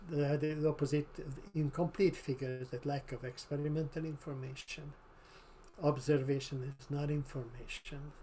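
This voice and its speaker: Hungarian-accented man lecturing, mellow voice. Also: medium pitch, a little hiss or hum. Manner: halting, with repeated words and fillers. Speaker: professor giving a webinar lecture. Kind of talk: online lecture over slides. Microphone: video call.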